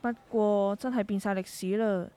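A woman's voice: medium pitch, fairly even intonation, moderate pace, dark tone, clean tone, natural voice. A woman playing a caring, world-weary middle-aged mother who runs a market stall, reflective and concerned, in a Hong Kong Cantonese radio drama. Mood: nostalgic. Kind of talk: scripted radio drama dialogue.

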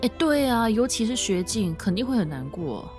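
A woman's voice: on the high side, sing-song, normal speed, darkish tone, soft background music. A woman acting a nosy, bubbly young office gossip in a radio drama, teasing and full of exclamations. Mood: gossipy.

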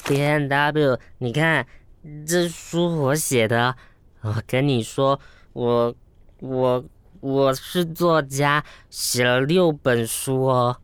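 An actor in an audio drama playing a drunken, loud, foul-mouthed young male writer who gets childishly needy, slurring his words. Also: mid-range voice, fairly even intonation, slow pace, smooth, dry recording, natural voice. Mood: drunken boasting, whiny.

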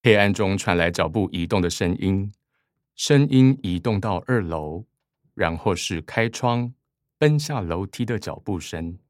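Guy narrating a radio play, really slow, dry close sound. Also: low voice, lively intonation, no echo. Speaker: narrator reading stage directions. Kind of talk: scripted radio drama narration.

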